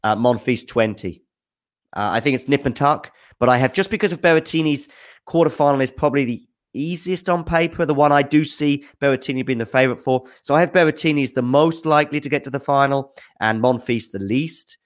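A man with an average-pitched voice talks through viewer questions as host of a sports show. He thinks out loud, speaks off the cuff and sounds keen on the players he is discussing. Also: fairly quick. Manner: thinks aloud, off-the-cuff and enthusiastic. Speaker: tennis news host answering viewer questions. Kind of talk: casual spoken commentary.

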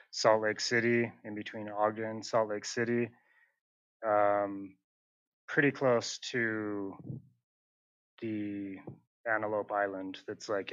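A young man from northern Utah speaks in a low, fairly flat voice, working through his answers with plenty of fillers and restarts. The sound is dry and a little dull. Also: no echo. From northern Utah, USA. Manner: hesitant, many fillers and restarts. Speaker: interview guest, muralist. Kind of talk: casual conversational interview.

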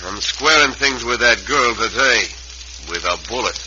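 An actor playing a sly, vengeful bank robber with a folksy outlaw manner in an old radio western. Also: male voice, mid-range voice, expressive, normal speed, natural voice. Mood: scheming, menacing. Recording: muffled, very noisy old radio recording.

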